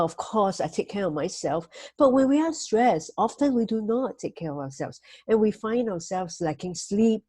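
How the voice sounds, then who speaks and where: Gentle woman, mellow and breathy. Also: medium pitch, no echo. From Singapore. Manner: gentle, measured, frequent "you know" fillers. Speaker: host giving weekly life tips. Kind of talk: motivational talk with slides.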